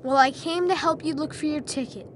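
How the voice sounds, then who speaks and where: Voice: high voice, fairly quick, a bit bright, a little hiss or hum. An actor playing a brave, reassuring young boy in a video game, speaking in an earnest, encouraging way. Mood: determined. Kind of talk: video game cutscene acting.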